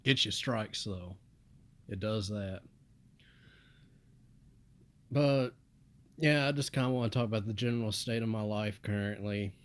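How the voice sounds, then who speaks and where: A young man from the United States rambling in a flat, monotone voice with mumbled asides. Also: lowish voice, moderate pace, clear, resonant tone. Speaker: vlogger. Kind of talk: casual rambling monologue.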